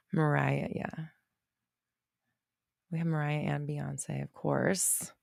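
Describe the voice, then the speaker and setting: A woman's voice: low-pitched, gravelly, darkish tone, no echo. Chatty woman with a dry, close sound. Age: 30s. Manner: chatty, laughs often, reads comments aloud with quick reactions. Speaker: livestream host reading viewer comments. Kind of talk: casual livestream chat.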